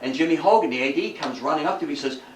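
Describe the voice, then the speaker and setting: An older man giving an informal talk to a group and reminiscing, in a folksy, halting way with repeated words. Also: fairly high pitch. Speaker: guest speaker at a local history talk. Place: meeting room with an audience. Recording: echoey room, distant mic, background hiss.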